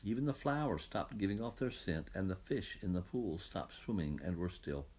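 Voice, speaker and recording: Male children's story narrator: lowish, animated intonation, expressive scripted storytelling. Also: normal speed, husky. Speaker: audiobook reader.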